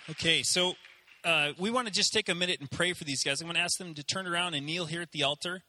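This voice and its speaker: A man's voice: on the high side, quick pace, pushed and bright, a little hiss or hum. A man leading a church service, casually inviting people forward to pray. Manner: casual, encouraging. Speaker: pastor. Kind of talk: church announcement.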